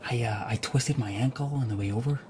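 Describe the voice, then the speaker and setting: A man's voice: medium pitch, fast talker, a little mellow, natural voice. An actor playing an awkward, wisecracking young male graduate student in a radio drama, stammering and self-deprecating. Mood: nervous, eager. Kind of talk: naturalistic radio drama acting. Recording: radio drama with background noise.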